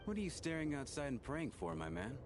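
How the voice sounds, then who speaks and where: A man's voice: mid-range voice, a little fast, music in the background. A man voicing a chummy, wry bystander in a video game. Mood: teasing. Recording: steady background noise.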